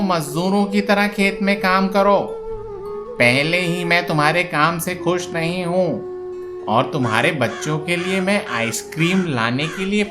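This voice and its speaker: Cartoon crow landlord, cruel and greedy, man acting, slow scolding delivery, music underneath. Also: high-pitched. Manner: harsh, scolding. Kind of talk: Hindi children's cartoon dubbing. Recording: music behind the voice.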